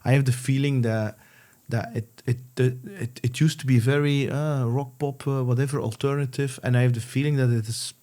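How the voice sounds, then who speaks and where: A man hosting a casual interview, talking haltingly with many restarts and fillers. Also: mid-range voice, fairly even intonation, mellow. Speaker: podcast host.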